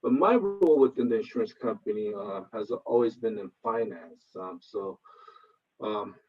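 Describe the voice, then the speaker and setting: A man's voice: lowish voice, slightly rough. Hesitant man with a Koreatown accent. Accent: Los Angeles Koreatown. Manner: hesitant, many filler sounds. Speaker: presenter, finance professional. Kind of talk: casual info session presentation. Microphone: video call. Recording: slightly dull video call audio.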